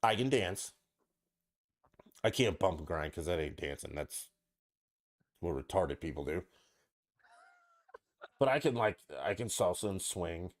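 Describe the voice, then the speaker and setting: A man's voice: deep voice, animated, measured pace, long pauses. A man co-hosting a podcast, asking crude questions and bragging in casual banter, a little tipsy. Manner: brash, joking, boastful.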